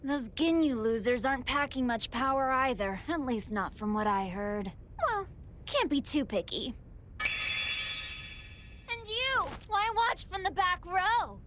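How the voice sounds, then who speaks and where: High-pitched, gloating android villainess. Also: female voice, sing-song, slow, background noise, heavily acted. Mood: smug, taunting. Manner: taunting, mock-sweet, drawn out. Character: gluttonous, gloating female android villain, playfully menacing. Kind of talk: anime video game voice acting.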